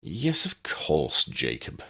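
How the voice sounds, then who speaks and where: Man playing refined, teasing young gentleman wizard. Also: a bass voice, wildly swooping pitch, slow pace, creaky, slightly rough, voice pushed down, full character voice. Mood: amused teasing. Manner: refined, wry.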